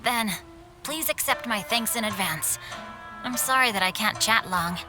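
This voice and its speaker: Woman acting genteel young novelist, formal, polite, highish pitch, anime game, music behind. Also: measured pace, close to an everyday voice. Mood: polite, confiding. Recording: background music over dialogue.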